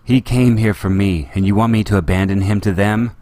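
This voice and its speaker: A man voicing a desperate, headstrong young princess with a pleading, sharp way of talking. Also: fairly low pitch, steady tone, brisk, dry recording, lightly acted. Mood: insistent.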